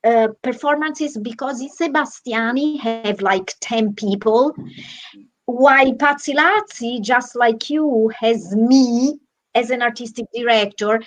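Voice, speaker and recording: An Italian woman with a slightly high voice talks in a lively, animated way. She runs a theatre troupe and chats casually over a video call, restarting her sentences as she goes. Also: slow, soft background music, low-quality recording. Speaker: artistic director, co-host.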